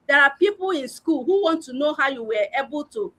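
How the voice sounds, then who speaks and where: A woman's voice: sits high, animated, fairly quick, bright, forceful tone. A woman giving an earnest, personal talk as a panelist on a live online discussion, with frequent filler words. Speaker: learning and development head.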